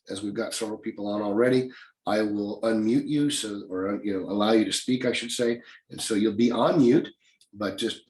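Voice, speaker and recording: Man, low voice, slightly raspy, folksy encouraging teacher, echoey room. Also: a little mellow. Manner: folksy, encouraging, repeats "okay?". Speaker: real estate investing teacher. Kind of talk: live online class, casual lecture.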